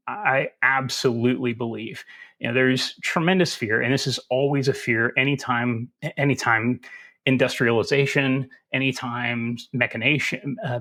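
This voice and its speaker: A man with a Southern US accent, answering interview questions in a relaxed, folksy and self-deprecating way. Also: mid-range voice. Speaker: security instructor as guest.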